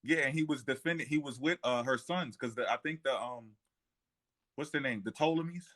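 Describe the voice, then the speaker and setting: A man chatting informally about history, thinking aloud in a halting way as he works out details. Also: medium pitch, a bit bright. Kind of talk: casual history discussion.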